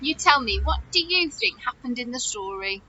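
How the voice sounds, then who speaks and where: A woman talking chattily to young children as their teacher, animated and full of questions and wonder. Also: high voice, normal speed. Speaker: children's teacher hosting story follow-up activities. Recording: dull audio with loud noise and clicks.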